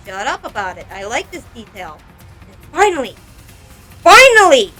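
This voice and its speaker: A bright-sounding woman chats through a craft project in a rambling, self-deprecating way. Her voice sits fairly high and she breaks into exclamations, with light music behind her. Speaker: doll customization video creator. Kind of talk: casual craft vlog narration.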